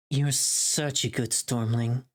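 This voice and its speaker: A streamer voicing a doting caretaker who gives gentle praise in a slow, tender way. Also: medium pitch, steady tone. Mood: tender, praising. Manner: slow, drawn-out tender delivery.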